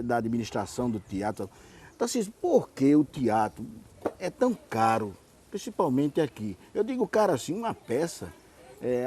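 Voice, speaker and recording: A man's voice: medium pitch, dark tone. A man hosting an interview, putting his questions in a conversational, slightly roundabout way. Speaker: interview host. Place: outdoor hotel terrace.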